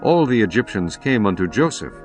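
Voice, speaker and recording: A man narrating scripture in a solemn, expressive scripted reading. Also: fairly low pitch, a little fast. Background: faint music.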